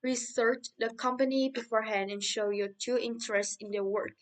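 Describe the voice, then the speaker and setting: A woman's voice: on the high side, steady tone, moderate pace, recorded from a distance, natural voice, low-quality recording. A woman from Thailand playing a practical young finance worker, a guest in a scripted interview for English learners. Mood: calm.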